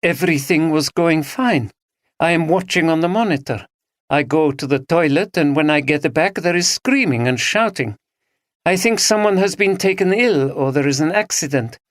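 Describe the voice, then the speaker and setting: A man voicing a calm, blunt female supervisor with an East European accent. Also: deep voice, normal speed, no room sound, studio quality, pitched above the performer's own voice. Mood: curt.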